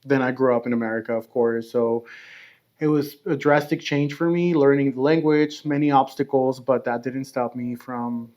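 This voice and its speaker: A man from Mexico, guest on a podcast, answering casually and steadily with frequent pauses and light laughs. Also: mid-range voice, steady tone, darkish tone. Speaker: podcast guest, snack brand founder.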